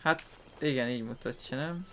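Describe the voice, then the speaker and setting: A man's voice: medium pitch, fairly quick, sharp tone, slight background noise. A man giving casual gameplay commentary as a gaming video host.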